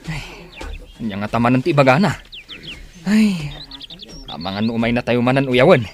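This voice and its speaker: A man's voice: medium pitch, animated, normal speed, slight background noise, close to an everyday voice. An actor playing a respectful, gossipy younger village man in a radio-style drama. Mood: uneasy.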